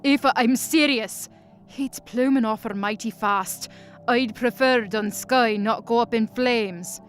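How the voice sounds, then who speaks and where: A woman voicing a scary, gruff warrior in an audio drama, blunt and threatening in every deliberate phrase. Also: fairly high pitch, soft background music. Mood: stern warning. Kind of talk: audio drama character acting.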